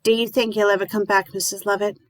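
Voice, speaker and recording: A woman voicing a grieving young widowed mother who pleads in a high, strained voice. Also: moderate pace, dry recording, lightly acted. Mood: mournful.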